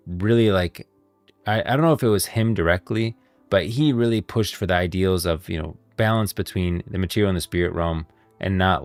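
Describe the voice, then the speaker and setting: A man's voice: low-pitched, no echo. A man giving casual livestream lore commentary as a streamer, rambling informally and thinking aloud.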